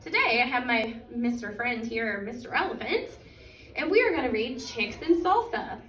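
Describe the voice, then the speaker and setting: Female storytime reader, higher register, expressive and deliberate picture-book delivery, recorded at a distance in a roomy space. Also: slight background noise. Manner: expressive, deliberate, stretching key words. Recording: echoey room, distant microphone.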